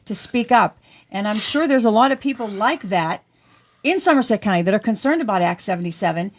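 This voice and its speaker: A woman, speaking in a mid-range voice as a talk radio guest. She talks loudly and forcefully, stressing her points with conviction. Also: medium pitch, animated, brisk. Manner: loud, forceful, emphatic. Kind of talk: talk radio political chat.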